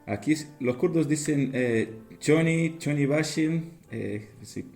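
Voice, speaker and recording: A man's voice: fairly high pitch, slow, a little mellow, soft background music. A man speaking as an invited guest on a podcast in casual conversation.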